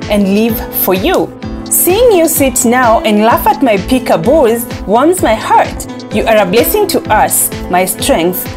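Lively Kenyan woman news presenter. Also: fairly high pitch. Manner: upbeat, reads quotes aloud. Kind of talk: scripted news voice-over. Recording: voice-over with loud background music.

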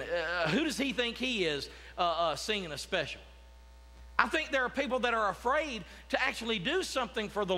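A man's voice: sits high, pushed and bright. A man, a pastor preaching a sermon in a church sanctuary, talking conversationally with many restarts and asides. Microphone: podium mic, walking about the platform.

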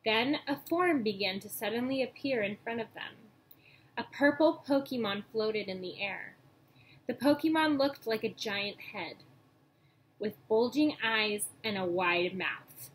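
Higher-pitched young woman reading a children's book. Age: young adult. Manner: acts out dialogue, pauses to point out pictures. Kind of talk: read-aloud storytelling from a children's book. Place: small room at home, indoors. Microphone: webcam or laptop mic at arm's length. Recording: noisy home webcam recording.

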